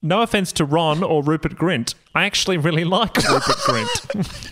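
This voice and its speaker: A man with a fairly high, very lively voice reads aloud and comments in casual podcast chat. His delivery is dryly teasing and mock-serious, and he is quick to laugh. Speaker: guest reading aloud and commenting.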